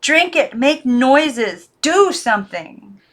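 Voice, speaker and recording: A woman voicing a frightened young girl who coaxes, then desperately shouts at her dolls. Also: high-pitched, very animated, takes it slowly, pitched above the performer's own voice, exaggerated voice. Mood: frantic. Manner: coaxing, then frantic and shouting.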